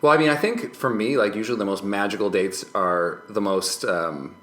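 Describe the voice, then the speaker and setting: A man's voice: lowish voice, moderate pace, fairly bright tone. A man as a podcast guest in casual personal conversation, speaking hesitantly and often correcting himself mid-phrase. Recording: roomy, echoing podcast recording.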